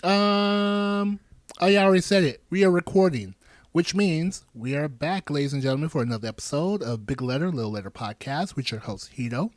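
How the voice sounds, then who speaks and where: A man in his thirties hosting a podcast, chatting casually with animated, joking asides. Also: fairly high pitch, a little fast, dry recording. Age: 30s. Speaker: podcast host.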